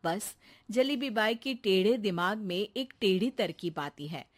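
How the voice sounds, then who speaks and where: A woman's voice: mid-range voice, lively intonation, a little slow. A woman narrating a cartoon story as a scripted storyteller.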